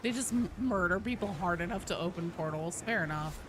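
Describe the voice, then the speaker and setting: A woman livestreamer chatting to her viewers in a casual, rambling, animated way, with salty slang. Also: fairly low pitch, a little fast. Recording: clearly noisy background.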